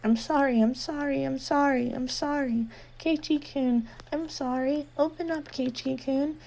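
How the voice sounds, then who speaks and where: A woman's voice: sits high, fairly even intonation, unhurried, gravelly, darkish tone, voice pushed up. A woman voicing a clingy, unsettling teenage girl who speaks in a sweet singsong voice. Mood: pained pleading. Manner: singsong, then pleading in pain.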